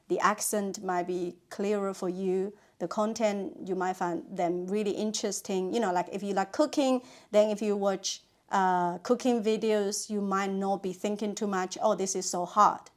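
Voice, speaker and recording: Woman musing in conversation. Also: medium pitch. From China. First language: Chinese. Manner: thoughtful, many "you know" and "uh" fillers. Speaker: guest, language content creator. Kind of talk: casual interview chat.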